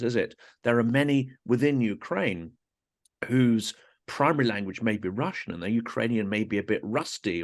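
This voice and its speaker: A man interviews a guest in a fairly low voice. He speaks fluently and thoughtfully, building long, framed questions with some context before asking. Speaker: host, interviewer.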